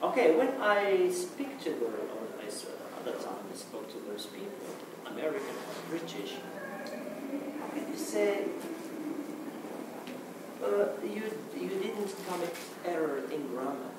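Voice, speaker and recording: A man from Morocco whose first language is Arabic, speaking English with a Moroccan accent in a hesitant conference talk, restarting often and filling pauses with "okay". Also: a little high, sing-song. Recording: echoey hall, mic far off, noisy.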